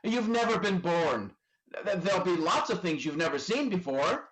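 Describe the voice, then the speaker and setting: A woman's voice: lowish voice, big pitch swings, normal speed, heavy background noise. A woman playing an innocent, centuries-old male angel in a radio play, quaint and earnest with small hesitations. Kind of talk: community theatre radio play acting. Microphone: video call.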